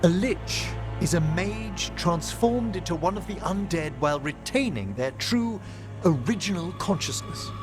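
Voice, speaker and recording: An aging scholar of necromancy in a fantasy game. His voice sits a little high for a man and moves widely in pitch. He speaks formally and gently, with a wistful, learned air, over a musical score. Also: expressive, music in the background. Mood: earnest, reflective. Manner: formal, eloquent, measured phrasing. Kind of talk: video game dialogue acting.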